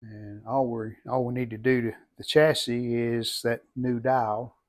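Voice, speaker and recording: A man giving casual how-to narration as a hobbyist restorer, plain-spoken and folksy, low-key, talking in short phrases. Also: flat delivery, unhurried. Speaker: hobbyist restorer demonstrating a repair.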